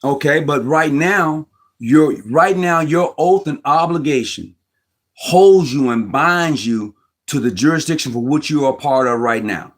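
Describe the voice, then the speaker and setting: A man speaking as host of an informal talk, pressing his view with emphasis. His voice sits a touch high, and he stumbles and restarts words as he reasons aloud. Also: a little slow. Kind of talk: casual Masonic discussion.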